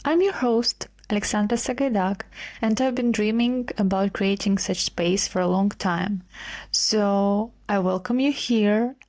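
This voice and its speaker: Slow, earnest Ukrainian-accented woman host. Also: mid-range voice, a little mellow. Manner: earnest, slightly halting non-native phrasing. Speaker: podcast host. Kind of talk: solo podcast monologue.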